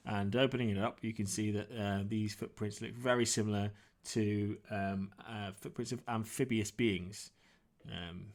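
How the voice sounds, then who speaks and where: A man narrating a tabletop role-playing game as game master, describing things in a halting, improvised, conversational way. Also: on the low side, steady tone.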